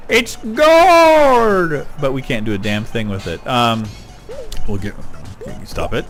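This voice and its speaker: A middle-aged man rambling casually while playing a video game, getting excited over loot. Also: medium pitch, takes it slowly. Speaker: gaming YouTuber. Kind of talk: casual let's-play commentary. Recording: game music behind voice. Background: game music.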